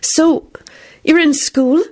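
A woman's voice: high voice, expressive, normal speed, voice pushed up. A woman voicing a nervous, prim female secretary making polite, awkward conversation in a storybook reading. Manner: polite, eager, slightly awkward.

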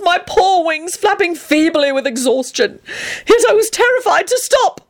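A high, wildly swooping voice for an ancient male vampire in a storybook, acted out by a woman. He is theatrical and self-pitying, drawing out his haughty, old-fashioned phrases as if every one were a tragedy. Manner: melodramatic, wailing, archaic and haughty phrasing. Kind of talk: audiobook character voice.

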